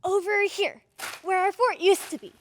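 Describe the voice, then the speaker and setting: A woman playing an excitable, insistent little girl in an old-time radio drama, with a dramatic, urgent manner. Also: very high-pitched, moderate pace, slight background noise.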